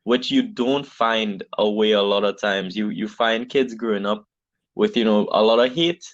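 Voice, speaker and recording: A man's voice: on the low side, moderate pace, no room sound. Earnest man, dull dry sound. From Trinidad. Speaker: business founder guest. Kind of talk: conversational interview.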